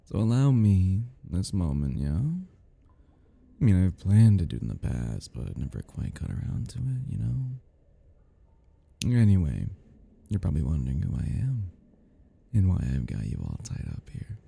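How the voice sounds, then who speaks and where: A man playing an obsessed, giddy and menacing crime scene cleaner in an intimate audio roleplay, rambling in a husky voice that drops into whispers. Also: low voice, sing-song, normal speed, warm tone, heavily acted. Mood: giddy, chatty. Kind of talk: intimate ASMR-style dramatic roleplay.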